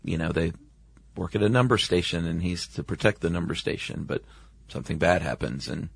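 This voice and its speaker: Low-voiced man chatting as podcast co-host. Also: fairly quick, gravelly, slightly rough, dry recording. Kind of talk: casual chat.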